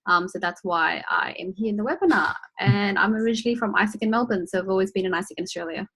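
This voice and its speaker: A woman's voice: mid-range voice, fast, a bit bright. A young woman co-hosting an online webinar over a video call, introducing herself casually in brief, upbeat remarks. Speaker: webinar co-host.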